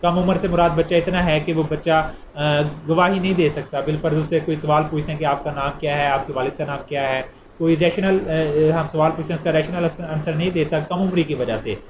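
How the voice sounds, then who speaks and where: A man's voice: fairly high pitch, fairly even intonation, a little fast. A man with a Pakistani accent giving an informal explanatory law lecture in a didactic way, repeating points as he goes. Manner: didactic, repeats points, poses and answers questions. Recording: clearly noisy amateur recording.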